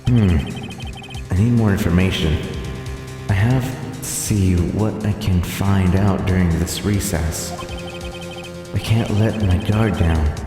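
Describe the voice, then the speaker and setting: A man's voice: low voice, slow, pauses often, very echoey, in a large hall, music in the background. A man voicing a determined, modest young defense lawyer from a video game, easily startled. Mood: wary. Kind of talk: dramatic reading of a video game.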